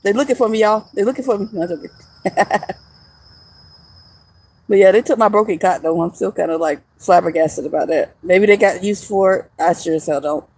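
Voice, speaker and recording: A woman's voice: mid-range voice, brisk, a little mellow, low-quality recording. Woman, thirties, laid-back chatter, laughs a lot, outdoors, phone mic. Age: 30s. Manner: chatty, laughs often, teasing viewers. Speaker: vlogger. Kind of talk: casual livestream chat. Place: outdoors in the woods.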